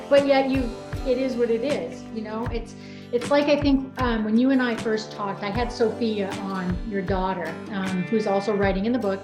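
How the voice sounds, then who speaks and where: A woman's voice: fairly high pitch, steady tone, moderate pace. A woman hosting a casual interview in a chatty, informal, rambling way. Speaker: host. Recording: roomy echo, music behind voice.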